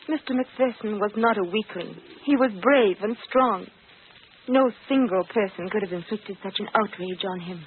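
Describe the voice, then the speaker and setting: A woman's voice: medium pitch, moderate pace, close to an everyday voice. An actress playing a proud, resolute young woman in mourning, earnest and firm, in 1940s radio style. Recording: tinny, noisy old radio recording.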